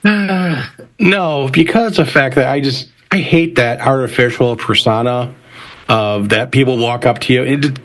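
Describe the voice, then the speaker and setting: Male panelist, average pitch, sincere and somewhat halting in casual discussion. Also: fairly bright tone. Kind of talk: casual opinion in a panel chat.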